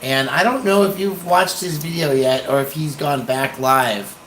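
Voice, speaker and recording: An American man rambling casually on a livestream, hesitant and pausing often as he talks. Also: fairly high pitch, a bit bright, a little hiss or hum, rough recording. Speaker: livestream host, activist.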